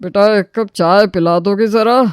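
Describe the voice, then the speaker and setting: A man's voice: sits high, steady tone, normal speed, clean tone, no room sound, clean studio sound, natural voice. A man playing an elderly, reasonable but exasperated father-in-law who admonishes and pleads for peace in a family story. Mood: concerned.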